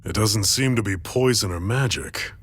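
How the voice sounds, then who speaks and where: An actor playing a gruff, composed resistance leader in a video game, speaking with wry, measured authority. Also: male voice, fairly low pitch. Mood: wry, probing. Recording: background music under voice.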